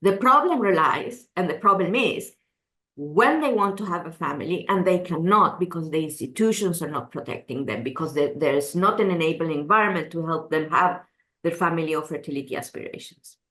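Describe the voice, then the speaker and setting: A woman's voice: fairly low pitch, animated, fairly quick, a bit bright. Chilean woman, earnest, roomy sound. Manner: earnest, many restarts and "you know". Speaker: gender adviser, interview guest. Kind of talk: thoughtful interview answers. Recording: roomy echo.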